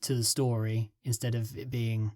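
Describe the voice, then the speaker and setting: A man's voice: mid-range voice, little pitch movement, moderate pace, darkish tone, dry recording. Hesitant man in a dry room. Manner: hesitant, filler-heavy, trails off mid-thought. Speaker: guest script writer. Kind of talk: casual podcast interview.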